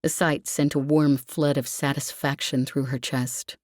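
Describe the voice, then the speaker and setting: Female audiobook narrator for fiction. Low register, slow delivery, very dry sound. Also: little pitch movement, darkish tone, dry recording.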